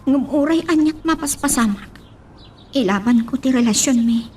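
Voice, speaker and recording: A woman's voice: sits high, normal speed, warm tone, a little hiss or hum, natural voice. A woman playing a lovesick, headstrong young daughter in an audio drama, emotional and defiant. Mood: troubled, resentful.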